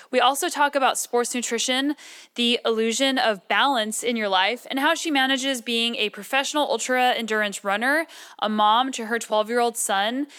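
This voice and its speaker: A woman podcast host with a bright, crisp voice. She speaks in an informal, chatty register, moving between show introductions and conversational interviewing. Her pitch sits a little high.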